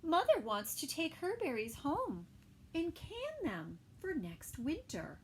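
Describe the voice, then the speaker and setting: A woman teacher reading a picture book aloud to small children, gentle and unhurried, with soft whispered asides. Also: high voice, huge pitch swings, slight background noise. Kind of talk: children's story time read-aloud.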